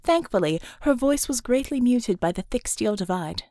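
A woman narrating an action novel as an audiobook, with expressive, dramatic storytelling delivery. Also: on the high side, moderate pace, dry recording, studio quality. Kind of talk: dramatic scripted fiction narration.

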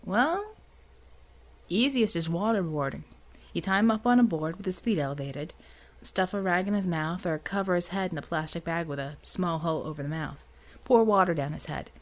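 A woman voicing a gruff, plain-spoken, tough American man in an audiobook story. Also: fairly low pitch, natural voice. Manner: colloquial, blunt, coolly menacing when persuading.

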